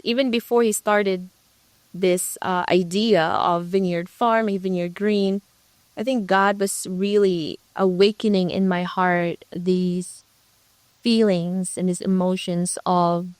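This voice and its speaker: Guest woman from the Philippines, reflective storyteller, smooth clear voice, dry close sound. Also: fairly low pitch, dry recording. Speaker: guest, ministry co-leader. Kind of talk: conversational interview.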